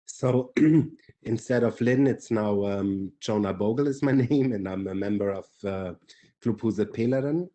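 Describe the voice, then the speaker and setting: Low, mellow Finnish-accented man. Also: a little slow. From Finland. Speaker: webinar panelist. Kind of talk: reading prepared remarks. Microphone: video call.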